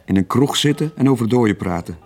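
An actor playing a gentle, laconic middle-aged sailor in a radio drama, speaking tersely. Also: male voice, medium pitch, lively intonation, fast, breathy edge, soft background music. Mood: resigned. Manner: terse, understated replies. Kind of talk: 1980s Dutch-language radio drama acting.

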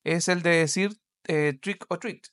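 A man with a fairly high voice co-hosts a podcast. He reads and retells a story in a conversational way, with a playful, jokey manner. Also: steady tone, a little slow, a bit bright, smooth. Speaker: podcast co-host. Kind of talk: reading a legend aloud with casual asides.

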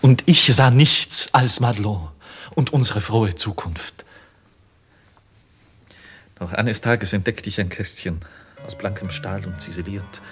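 A tormented young apprentice goldsmith in an old radio play. His low voice is heavily husky. He declaims his confession with theatrical weight, dropping into hush and rising to desperate urgency. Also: takes it slowly, pauses often, soft background music. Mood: agitated, recounting. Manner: theatrical, declamatory, swinging from hushed to impassioned. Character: anguished young goldsmith's journeyman, devoted lover, confessing. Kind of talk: 1950s German radio drama acting. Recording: muffled vintage 1950s radio recording.

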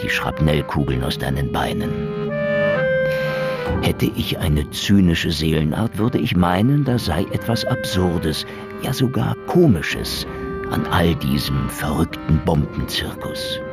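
An actor playing a sardonic, world-weary ex-agent in a noir radio drama, brooding and deadpan in his delivery. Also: extremely low pitch, a little slow, heavily acted. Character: world-weary, sardonic hard-boiled man, jailed ex-state-security agent. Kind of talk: noir first-person radio drama narration. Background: music.

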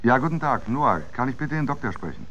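An actor playing a scheming middle-aged married man in a German radio drama, talking in a smooth, evasive, persuasive way. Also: mid-range voice, sing-song, a little fast, fairly bright tone, highs cut off. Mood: politely businesslike. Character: scheming, evasive married man plotting against his wife.

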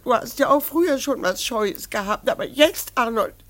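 A woman's voice: on the high side, expressive, moderate pace, a bit bright. An actress playing an anxious, reproachful middle-aged mother in a German radio play, lamenting and pleading insistently with emotion.

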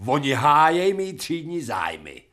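A man's voice: a little high, sing-song, fairly bright tone. A man playing a crude, insolent man made from a dog in a radio drama, talking in a coarse, slangy way.

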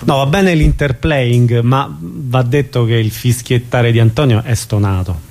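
A man's voice: medium pitch, unhurried, darkish tone. A man hosting a casual radio interview, asking questions in an informal conversational way. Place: radio studio.

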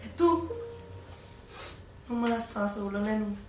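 A woman's voice: medium pitch, animated, slow. An actress playing a young Neapolitan woman who fights as a wartime partisan, speaking with a Neapolitan accent in a stage play for radio. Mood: wistful. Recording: echoey stage, distant mic, music underneath.

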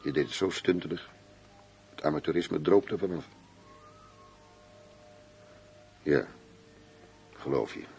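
An actor playing a wry, weary, middle-aged undercover police commissioner in a radio drama, speaking in a measured, dry way. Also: male voice, very deep voice, vocal fry, darkish tone, soft background music. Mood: suspicious, dry. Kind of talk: Dutch radio drama acting. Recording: noisy radio play recording.

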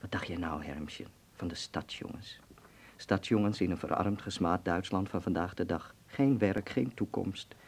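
Een acteur speelt een wereldwijze, plagerige en bazige vriend in een hoorspel, met veel nadruk. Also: male voice, low-pitched, normal speed, gravelly, darkish tone. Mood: insistent, scolding. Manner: emphatic, teasing, commanding. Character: worldly, teasing, bossy Dutch friend in 1930s Berlin. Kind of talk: radio drama acting.

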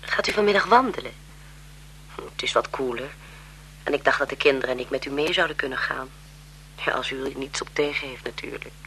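An actress playing a deferential, inquisitive governess, chatty and polite, in 1970s radio drama style. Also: female voice, extremely low pitch, fairly quick, long pauses, pushed and bright, a little hiss or hum, exaggerated voice, rough recording. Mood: hesitant, polite.

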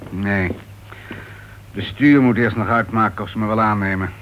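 A man's voice: lowish voice, steady tone, moderate pace, lightly acted. Radio play, muffled and slightly noisy: male actor as irritable, self-important author, impatient and sarcastic. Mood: grumbling, sarcastic. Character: irritable, self-important male crime writer, husband at home. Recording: dull, noisy old radio play recording.

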